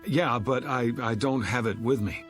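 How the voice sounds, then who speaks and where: A man's voice: medium pitch, normal speed, a bit bright, faint music behind, natural voice. An American actor playing a desperate, agitated fugitive in a television drama, stammering and insisting urgently that he is sane. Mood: anxious, hopeful. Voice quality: stammering, breaking phrases.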